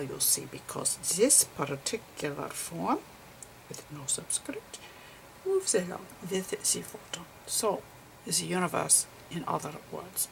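A woman voicing a gentle, eccentric old male physicist, lecturing haltingly in a murmured ASMR role-play. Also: fairly low pitch, gravelly, a little hiss or hum, full character voice, poor audio. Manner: halting, whispery, murmured explanations with quaint formal phrasing.

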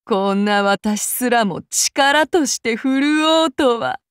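A vengeful demigoddess warrior from an anime game. Her voice is high and soft, delivered very slowly with archaic, formal politeness that carries menace. The recording is close and dry. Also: expressive, clear, resonant tone, no echo. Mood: composed, proud. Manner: archaic formal polite speech, slow and deliberate. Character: soft-spoken, courteous, menacing female avenger demigod warrior. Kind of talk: anime mobile game voice lines.

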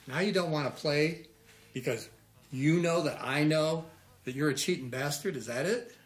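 An actor in his sixties playing a gruff, wounded older American man, blunt and sardonic, in naturalistic film dialogue. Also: a little high, normal speed, soft background music, lightly acted. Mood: irritated, sardonic. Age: 60s.

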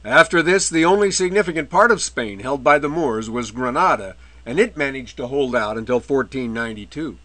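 A man giving an illustrated history lecture in a measured way, with the odd wry aside. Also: mid-range voice, lively intonation, pushed and bright. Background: faint medieval music.

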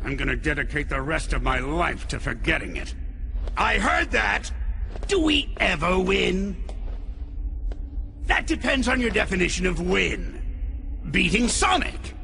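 An actor playing a pompous, bickering mad scientist villain in a video game cutscene, blustering and theatrical. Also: male voice, high-pitched, animated, measured pace, creaky, pauses often, sharp tone, soft background music, heavily acted. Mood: grumbling.

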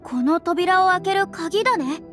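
A woman's voice: very high-pitched, animated, a little slow. An actress playing a theatrical, easily frightened young girl with dramatic, boyish phrasing in an anime game. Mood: confident. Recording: loud game music behind.

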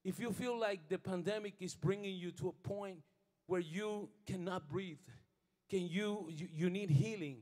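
A middle-aged bandleader with a fairly high voice speaks into a stand mic on a studio stage. He plays bass as he talks. He slips between Spanish and English and hesitates over his words before rising into fervent, sermon-like encouragement. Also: slow, darkish tone. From Puerto Rico. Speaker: bandleader and bassist. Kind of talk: onstage band introductions and spiritual encouragement. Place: indoor studio stage with a band. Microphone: stand microphone while playing bass.

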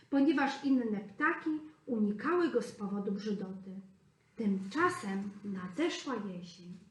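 A woman reads a fairy tale aloud for small children, slow and expressive. Her voice sits a little high. The sound is roomy, with a clear echo. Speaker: preschool teacher.

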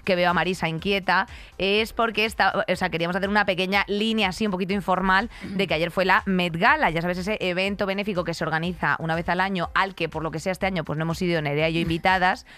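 A young woman with a Castilian Spanish accent co-hosting a podcast, chatting comically in a brash, slangy, animated manner into a close studio microphone. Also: fairly low pitch, quick pace. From Spain. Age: young adult. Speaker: podcast co-host. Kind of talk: casual comic chat.

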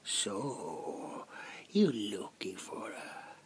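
A man playing a menacing, scheming demon in an ASMR roleplay, whispering in a slow, theatrical, gravelly voice. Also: on the low side, very animated, exaggerated voice. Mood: sly, bargaining. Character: menacing, scheming male demon who bargains with humans.

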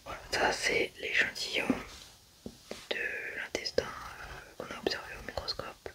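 A young woman doing a whispered ASMR roleplay as a scientist, commenting step by step in a hushed, hesitant way. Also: a little slow. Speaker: ASMR creator playing a scientist.

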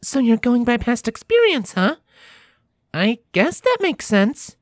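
A man's voice: very high voice, wildly swooping pitch, a little slow, no room sound, studio quality, pitched above the performer's own voice, exaggerated voice. A man voicing an anxious, caring young female unicorn. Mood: concerned.

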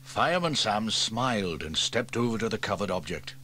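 A man narrating a children's storybook in a gentle, expressive way. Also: on the low side, moderate pace, slight background noise. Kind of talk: storybook reading.

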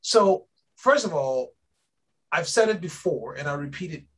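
Husky man on a video call. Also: fairly high pitch, expressive, fairly bright tone. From Liberia. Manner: measured, repeats words when starting a point. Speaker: interviewer and energy advisor. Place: home office with bookshelves.

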